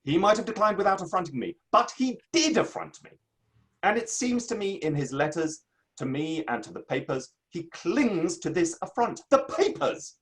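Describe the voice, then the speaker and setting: Man acting a proud, indignant Victorian gentleman, swooping high voice. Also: expressive, moderate pace, rough recording. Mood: affronted. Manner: declamatory, grandiose, formal old-fashioned phrasing. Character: indignant Victorian literary man, proud, theatrical, easily affronted. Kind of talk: online dramatic play reading.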